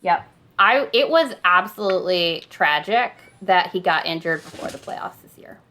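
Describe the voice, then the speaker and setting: A woman's voice: lowish voice, animated, normal speed, pushed and bright. A woman hosting a hockey trivia quiz on a podcast in casual, conversational talk.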